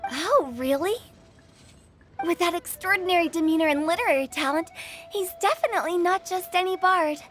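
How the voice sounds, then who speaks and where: A woman's voice: very high voice, normal speed, soft background music. An actress playing a bold, nosy, playful teenage girl in a video game, teasing and theatrical. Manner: teasing, inquisitive, expressive. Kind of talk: video game character dialogue.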